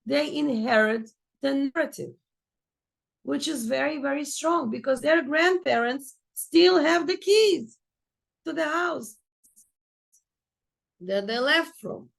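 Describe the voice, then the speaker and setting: Gravelly-voiced woman telling a story. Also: high-pitched, takes it slowly, long pauses. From Israel. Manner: storytelling, acts out dialogue. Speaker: course teacher. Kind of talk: lecture with a personal story.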